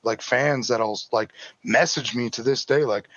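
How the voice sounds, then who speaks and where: Man, young adult, raspy, rambling, swears a lot, dry close sound, dull audio. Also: medium pitch, measured pace, a bit bright, dry recording. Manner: loose, rambling, swears often. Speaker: drift driver guest. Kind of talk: casual podcast chat.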